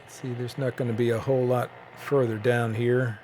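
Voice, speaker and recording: A man with a mid-pitched voice talks casually through a hobby radio demonstration. He thinks aloud and feels his way haltingly from point to point. There is some faint noise behind him. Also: flat delivery, a little mellow. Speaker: shortwave radio hobbyist presenting a bandscan. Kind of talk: casual unscripted demonstration commentary.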